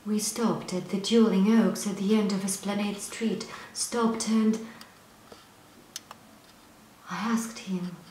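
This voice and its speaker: An actress performing a stage monologue as a young woman haunted by a painful memory, moving between hushed whispers and loud outbursts. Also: medium pitch, fairly even intonation, slow, long pauses, close to an everyday voice. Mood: hushed recollection. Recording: echoey room, faint noise.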